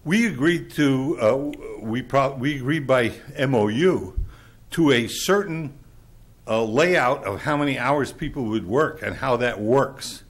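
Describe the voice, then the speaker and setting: Loud, blunt man giving public comment. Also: medium pitch, measured pace, slightly rough. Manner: blunt, exasperated, lecturing. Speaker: public commenter at a city council meeting.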